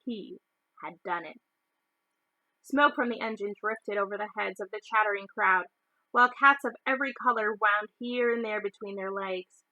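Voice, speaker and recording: A woman's voice: medium pitch, moderate pace, sharp tone, no echo, lo-fi sound. A woman reading a children's novel aloud as a storyteller. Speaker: storybook reader.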